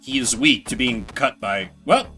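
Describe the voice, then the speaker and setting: A man streaming a game playthrough, casually commenting and reading game text aloud in an expressive, slangy way. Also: mid-range voice, measured pace, soft background music.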